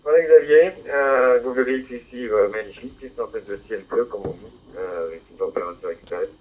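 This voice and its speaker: A man's voice: medium pitch, fairly quick. A man talking over a ham radio link, hesitating with many fillers and spelling call signs phonetically. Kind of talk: radio contact over the air. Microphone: handheld radio microphone, decoded by a receiver. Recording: tinny narrowband digital radio with faint hiss.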